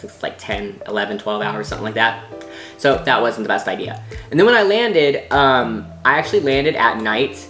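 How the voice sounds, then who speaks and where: A man's voice: mid-range voice, pushed and bright. Young american guy vlogging, rambly, lots of likes, roomy echo and faint music behind. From United States. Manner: rambling, many "like"s and restarts. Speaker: travel vlogger. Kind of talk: casual talk to camera.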